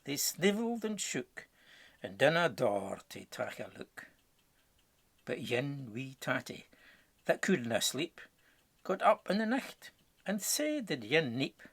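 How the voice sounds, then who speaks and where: Scottish male narrator for a rhyming picture book, unhurried and theatrical, with a gravelly edge. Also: on the high side, fairly bright tone. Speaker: storybook narrator. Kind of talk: dramatic rhyming verse storytelling.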